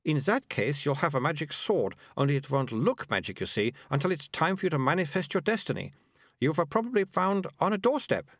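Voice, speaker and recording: A prim, bossy young girl who is always sure she's right, as a man puts her on. Her voice sits high and swoops with lively pitch. It is recorded close and dry. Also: brisk, dry recording. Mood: imaginative. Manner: prim, know-it-all, theatrical. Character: bossy, imaginative young girl, mayor's daughter.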